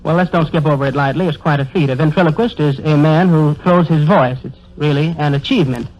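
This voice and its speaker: Man playing effusive straight man, higher-pitched, old radio. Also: quick pace, lightly acted. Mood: chatty, coaxing. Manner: flowery, effusive vocabulary, stammering repeats. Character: eager, wordy straight man of a comedy duo. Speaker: comedian in a double act. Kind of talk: 1930s radio comedy patter. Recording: dull 1930s radio broadcast recording.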